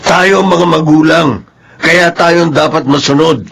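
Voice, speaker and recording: A man's voice: fairly high pitch, steady tone, measured pace, creaky, a bit bright, exaggerated voice. Stern, domineering Filipino father. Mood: indignant, stern. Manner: brusque, authoritarian. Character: stern, domineering middle-aged father and husband. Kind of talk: Filipino radio drama acting. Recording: noisy radio drama track.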